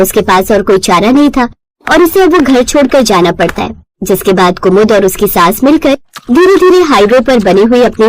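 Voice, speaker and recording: A woman narrates a story from a script. Her voice sits fairly high, and she reads as a storyteller. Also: no echo, rough recording.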